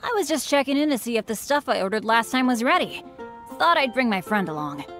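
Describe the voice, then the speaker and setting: An unlucky young boy adventurer from a video game, with a highish, lively voice. He is hesitant and self-effacing, yet readily excitable, and music plays clearly behind him. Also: fairly quick. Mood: excited. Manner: earnest, hesitant, bashful, easily excitable. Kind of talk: English video game character dialogue.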